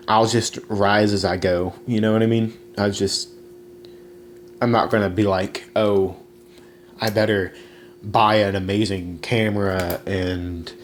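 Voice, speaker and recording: A man's voice: on the low side, a little hiss or hum. A young man hosting a casual solo podcast, rambling with many fillers and restarts. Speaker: podcast host.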